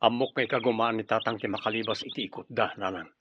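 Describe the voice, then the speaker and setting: A man's voice: lowish voice, fairly even intonation, quick pace, background noise, close to an everyday voice. An actor playing a resolute, loyal young tribesman, earnest and reassuring, with an Ilocano accent, in a radio drama. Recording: muffled radio drama with loud birdsong. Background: birdsong effects.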